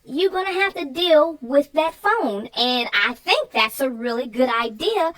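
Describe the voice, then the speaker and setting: A woman co-hosting a casual chat, talking in an expressive, emphatic way and laughing easily. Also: high voice, unhurried. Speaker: co-host.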